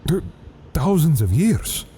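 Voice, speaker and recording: An actor playing a devout, courteous elderly cardinal who talks in a formal, polite and earnest way. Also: male voice, fairly high pitch, very animated, slow, dark tone, husky, heavily acted.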